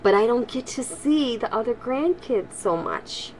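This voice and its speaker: An older woman, a grandmother, speaking to children in an expressive, homely storytelling style. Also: a little high, a little slow, rough recording. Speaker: grandmother reading stories for children. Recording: home recording with faint background noise.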